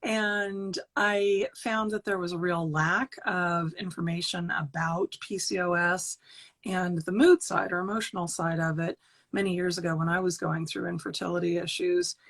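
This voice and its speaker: A woman's voice: lowish voice, fairly even intonation, moderate pace, fairly bright tone. A woman psychotherapist explaining her work on an online panel in an assured, explanatory way, listing her points steadily. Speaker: psychotherapist and author.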